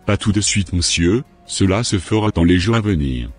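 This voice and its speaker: A man voicing a matter-of-fact hospital doctor in a cartoon, with a husky voice and measured delivery. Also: low-pitched, expressive. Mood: calm, frank. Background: faint music.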